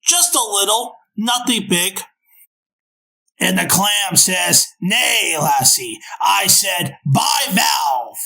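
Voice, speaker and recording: A man's voice: sits high, animated, drawn-out words, gravelly, pushed and bright, raised voice, heavily acted. A man voicing a goofy undersea henchman in a cartoon comic dub, spinning silly yarns. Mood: jokey. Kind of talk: animated comic dub voice acting.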